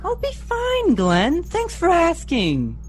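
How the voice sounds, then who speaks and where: A man's voice: very high-pitched, huge pitch swings, slow pace, echoey room, in a large hall, full character voice. Casting: young man as dutiful, stoic teen space pilot for radio drama, with widely varied intonation. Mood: strained, wry. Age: young adult. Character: injured teenage boy pilot, dutiful and stoic. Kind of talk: radio play acting.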